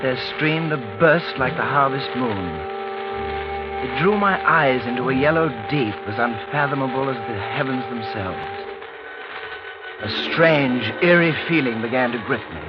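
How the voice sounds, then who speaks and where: A slightly dull vintage radio recording with prominent music holds a young gentleman, played by a man. He speaks at a medium pitch, courteous and measured. There is a reflective, storytelling seriousness to his delivery. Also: natural voice. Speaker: lead actor and narrator in a radio drama. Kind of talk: old-time radio drama acting and narration.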